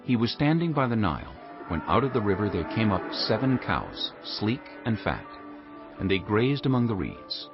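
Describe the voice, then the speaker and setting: A man giving a solemn, measured and dramatic narration of scripture, formal in delivery. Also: fairly low pitch, big pitch swings, lo-fi sound, over music.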